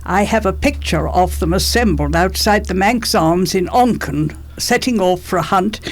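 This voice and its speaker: A woman's voice: mid-range voice, normal speed. An eighty-one-year-old woman telling family stories in an interview, articulate and rather formal in her phrasing. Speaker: interviewee, former politician. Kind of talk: storytelling reminiscence in an interview.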